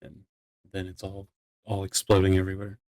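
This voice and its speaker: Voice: low-pitched, monotone, normal speed, dark tone, dry recording, poor audio. A person talking casually in a roleplay discussion, explaining things in a plain-spoken way. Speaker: role-player in a court meeting.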